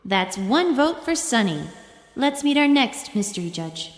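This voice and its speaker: A woman's voice: on the high side, big pitch swings, unhurried. A woman playing a showy female feline monster who theatrically hosts a pageant in an audio drama. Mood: showy announcing. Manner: theatrical, announcing contestants. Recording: echoey hall with long reverb.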